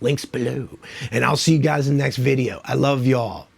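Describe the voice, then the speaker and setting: A man's voice: mid-range voice, steady tone, normal speed, creaky, darkish tone, husky. A man who is losing his voice from a cold, hosting a casual music countdown in a chatty, enthusiastic, rambling way. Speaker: music video host.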